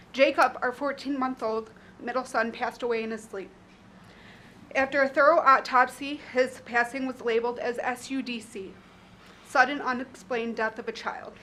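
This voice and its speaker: Earnest Chicago-accented woman, 30s, high voice, prepared remarks at a podium mic, faint ambience. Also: bright, forceful tone, slight background noise. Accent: Northern US (Chicago). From Chicago, USA. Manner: earnest, emotional. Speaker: public commenter. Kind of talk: prepared public statement. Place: county courtroom.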